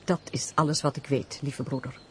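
An actress playing a sensible, dryly teasing countess, speaking formally in a Dutch period radio play. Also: female voice, a bass voice, steady tone, moderate pace, a bit bright, slight background noise. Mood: earnest, storytelling. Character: teasing, sensible countess, elder-sisterly and worldly.

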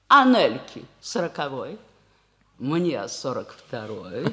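An actress playing a bossy, persuasive middle-aged sister in a 1960s Soviet radio comedy, brisk and insistent. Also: female voice, a bass voice, sing-song, bright, forceful tone, exaggerated voice. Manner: brisk, insistent, dismisses every objection.

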